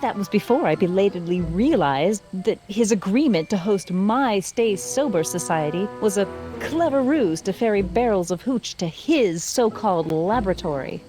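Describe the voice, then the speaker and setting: A woman's voice: mid-range voice, lively intonation, normal speed, mellow, soft background music, close to an everyday voice. An actress playing a prim, zealous young woman reporter in a video game, wordy and theatrically righteous. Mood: indignant. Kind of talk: video game character acting, period melodrama.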